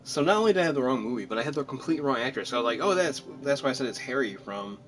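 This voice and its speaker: A man chatting casually as he streams a game, joking and rambling in an animated way. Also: medium pitch, racing. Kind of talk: casual gameplay commentary and chat.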